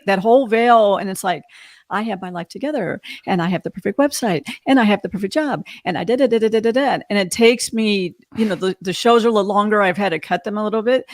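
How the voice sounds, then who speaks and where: A woman's voice: mid-range voice, no echo, studio quality. A woman podcast host talking casually and reflectively, in a candid, emphatic way. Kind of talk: casual reflective interview talk.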